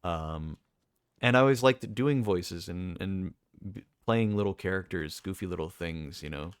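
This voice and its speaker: Deep-voiced young man, rambling. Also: measured pace, clean tone, no room sound, clean studio sound. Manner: rambling, self-correcting, many fillers. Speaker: streamer. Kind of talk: casual rambling monologue.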